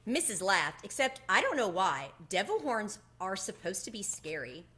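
Bright, expressive woman reading aloud. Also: mid-range voice, normal speed, a little hiss or hum. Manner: animated, storytelling delivery. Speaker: book reader. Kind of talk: expressive children's read-aloud. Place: home room with bookshelves, indoors. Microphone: webcam at desk distance.

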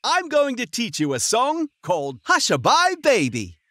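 A man's voice: very high-pitched, wildly swooping pitch, a little slow, sharp tone, no echo, studio quality, heavily acted. A man voicing a friendly frog who presents a children's sing-along, instructing as he goes.

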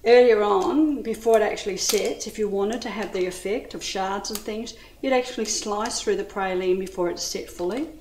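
A middle-aged Australian woman talks through a dessert recipe in an enthusiastic, chatty way, her pitch sitting in the middle of the range. She is recorded in a roomy, echoey kitchen with a faint hiss behind her. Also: moderate pace, hardly any pauses, darkish tone. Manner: chatty, enthusiastic, addresses viewers as ladies. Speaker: cooking demonstrator. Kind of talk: cooking demonstration. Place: home kitchen studio. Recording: echoey kitchen with light noise.

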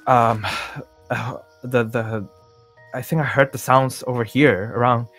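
A man's voice: mid-range voice, steady tone, slow, a bit bright, soft background music. A man playing a nervous, forgetful celebrity in a Minecraft zombie roleplay, hesitant and stammering as he repeats his words. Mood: casual, unsure. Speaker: roleplay player. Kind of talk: improvised roleplay acting in a Minecraft series.

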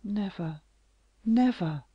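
A reader voicing a tearful gentle young woman. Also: mid-range voice, expressive, takes it slowly, slightly rough, dry recording, lo-fi sound, pitched above the performer's own voice. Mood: sorrowful pleading. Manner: soft, pleading, at times whispered. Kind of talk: audiobook character reading.

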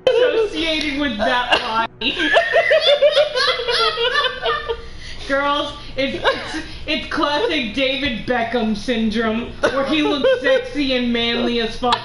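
A woman's voice: high voice, big pitch swings, drawn-out words, long pauses. A woman co-streaming a game with blunt, sweary, teasing banter in a casual style. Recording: roomy echo with clear background noise.

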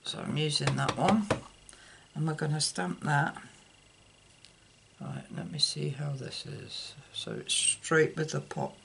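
An older woman giving an unscripted card-making demonstration, haltingly thinking aloud as she works at a table indoors. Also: low voice, a bit bright. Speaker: craft tutorial host. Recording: clear background noise, clicks.

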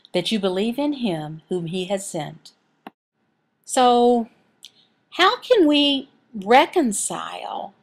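Female sermon interpreter, slightly high voice, slow and deliberate phrase-by-phrase delivery. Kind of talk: sermon and prayer, voiced from sign language.

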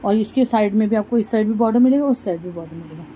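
Mellow-voiced woman shopping vlogger. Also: mid-range voice, racing, slight background noise, rough recording. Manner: chatty, addresses viewers as guys. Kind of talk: casual shopping vlog. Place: fabric shop in a market.

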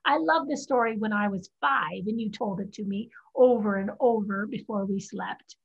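A woman's voice: mid-range voice, moderate pace, creaky, breathy edge. A woman acting a practical, defiant young woman in a staged drama reading over a video call. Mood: resolute, sad. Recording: dull video-call audio.

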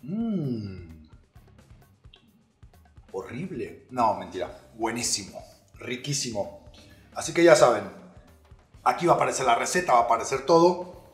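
A man's voice: mid-range voice, sing-song, unhurried, pauses often, reverberant, faint music behind. Upbeat man hosting a cooking segment. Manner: upbeat, playful, addressing viewers directly. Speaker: actor presenting a recipe.

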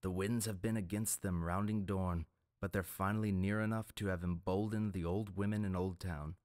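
A man's voice: sits low, fairly even intonation, normal speed, a little mellow, natural voice. A man voicing a blunt, gloating male pirate captain in an audiobook.

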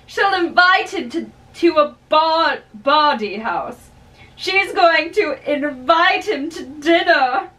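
A young woman giving a theatrical, deliberate dramatic reading of play dialogue. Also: sits high, fairly bright tone. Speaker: amateur reader of a play. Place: small bedroom, indoors. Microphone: camera a few metres away. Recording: distant camera in a bedroom.

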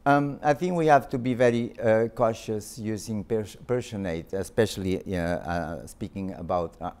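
A middle-aged man with a French accent delivers an academic lecture in a somewhat low, clear and resonant voice. He speaks haltingly, with frequent fillers and restarted words. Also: darkish tone. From Spain. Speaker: visiting art history professor. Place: lecture hall.

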